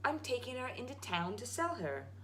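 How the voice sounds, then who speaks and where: A woman voicing an earnest, naive, excitable farm boy in a storybook. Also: fairly high pitch, normal speed, voice pushed up, poor audio. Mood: hesitant, doubtful. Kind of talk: storybook read-aloud.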